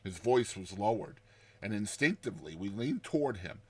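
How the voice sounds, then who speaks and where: A man narrating a classic horror short story in a hushed, grave and measured manner. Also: lowish voice, animated, breathy edge.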